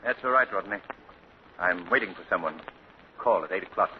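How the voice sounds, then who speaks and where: A man voicing a polished gentleman with a lowish, measured voice, guarded and a little tense. The sound is thin and tinny, with clear hiss, as on a 1940 radio broadcast. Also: natural voice. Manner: measured, polished, with small hesitations. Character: composed wealthy gentleman, secretive, tense.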